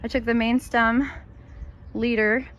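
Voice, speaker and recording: A woman's voice: a little high, flat delivery, slow pace, a bit bright. A Canadian woman from Ontario giving a rambling, chatty walkthrough of her garden, gushing over her plants. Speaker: gardening vlogger. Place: outdoors in a home garden. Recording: outdoor noise behind voice.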